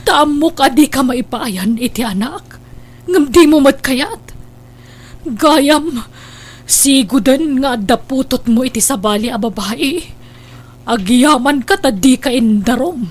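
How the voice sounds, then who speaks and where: A woman's voice: high voice, lively intonation, slow, husky, heavily acted. A wealthy, betrayed wife in a radio drama. She is bitter and scornful, delivering her words with hard emphasis. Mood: bitter, accusing. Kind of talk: Filipino radio drama acting.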